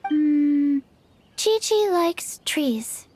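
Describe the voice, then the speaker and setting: A woman voicing a tiny, placid child character in a video game, speaking simply and deadpan. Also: high voice, very slow, slight background noise, full character voice. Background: background music.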